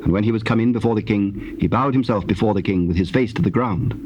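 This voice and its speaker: A man's voice: on the low side, fast talker, darkish tone, a little hiss or hum. A man narrating scripture in a solemn, scripted style on an old cassette recording.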